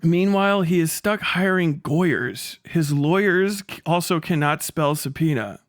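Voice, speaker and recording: A man doing a casual livestream reaction in an animated, incredulous and profane way, breaking easily into laughter. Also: a little high, unhurried. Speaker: livestream host. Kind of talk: casual livestream reaction, reading messages aloud with profane commentary.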